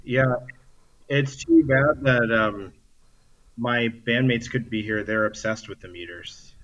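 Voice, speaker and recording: A man talks casually as an interview guest. He is laid-back and a little hesitant, with a medium-pitched voice. The sound is slightly dull, as from a remote call. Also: slow pace, a bit bright. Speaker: interview guest, band musician.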